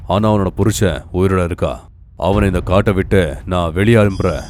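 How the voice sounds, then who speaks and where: A man's voice: sits low, normal speed, darkish tone, slight background noise, natural voice. A man voicing a proud, commanding cartoon lion king with declamatory royal speech. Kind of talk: cartoon fable acting.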